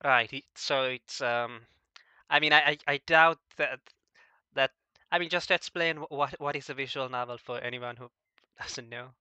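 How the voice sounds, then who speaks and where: Halting man interviewer with a sharp, bright voice. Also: medium pitch, smooth, dry recording, lo-fi sound. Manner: halting, frequently stammering over word starts. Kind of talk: casual interview chat.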